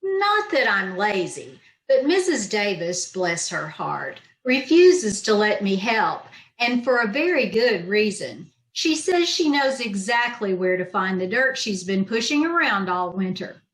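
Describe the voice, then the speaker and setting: A woman playing a wry, quick-witted schoolteacher in old-time radio comedy style, with dry wisecracking delivery. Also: mid-range voice, big pitch swings, a bit bright. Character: wry, quick-witted female high school English teacher. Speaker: amateur radio troupe actor. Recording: roomy, echoey home video-call audio.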